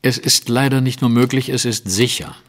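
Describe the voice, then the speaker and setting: An actor playing a composed, commanding Victorian detective in a German audio drama, speaking precisely and with theatrical authority. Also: male voice, medium pitch, steady tone, moderate pace, big-room sound, slight background noise, close to an everyday voice. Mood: grave. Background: music.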